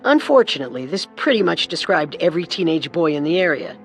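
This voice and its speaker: Higher-pitched male true-crime narrator. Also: sing-song, fast, soft background music. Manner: storytelling, explanatory. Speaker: co-host narrator.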